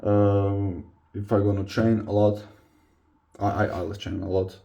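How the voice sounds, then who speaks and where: A man's voice: on the low side, little pitch movement, unhurried, gravelly, dark tone. A young man from Croatia with a Croatian accent, chatting casually to camera in non-native English, hesitant as he searches for words. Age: young adult. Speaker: vlogger, football player. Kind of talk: casual confessional chat to camera.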